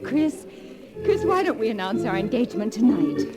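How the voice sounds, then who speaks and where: High-pitched, possessive society belle. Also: female voice, very animated, moderate pace. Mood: adoring, gushing. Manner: melodramatic, breathlessly theatrical. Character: possessive, doting young society belle, gushing and volatile. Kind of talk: 1940s radio acting. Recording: old 1940s radio recording, music behind.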